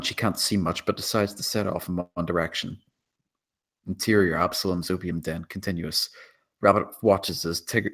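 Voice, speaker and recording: A man's voice: sits low, fairly bright tone. A man reading out stage directions as scripted screenplay narration at a table read.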